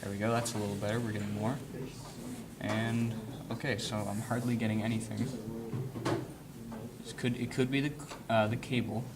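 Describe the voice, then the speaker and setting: A man giving a casual hands-on tutorial in an informal, halting way, thinking aloud as he works as an instructor. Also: lowish voice, level tone, crowd noise. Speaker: instructor demonstrating audio equipment. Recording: clearly noisy room recording with handling sounds.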